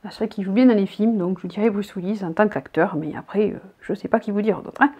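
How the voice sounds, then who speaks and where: A woman's voice: medium pitch, a little fast, husky, highs cut off. Chatty southern French woman in her thirties. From southern France. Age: 30s. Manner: chatty, rambling, reads each question out loud. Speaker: vlogger. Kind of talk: casual chat answering tag questions. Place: bedroom, indoors.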